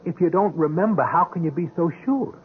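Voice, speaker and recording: An actor playing a patient, dogged police sergeant in a radio drama, questioning politely and persistently. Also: male voice, on the high side, fairly quick, close to an everyday voice. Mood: gentle, probing. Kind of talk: 1970s radio drama acting. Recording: tinny, noisy old radio recording.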